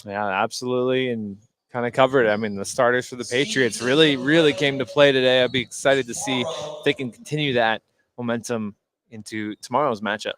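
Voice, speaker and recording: A man's voice: medium pitch, fairly even intonation, brisk, a crowd in the background. An American man giving conversational color commentary on a live basketball game. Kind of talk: conversational live sports analysis. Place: indoor gym at a basketball game.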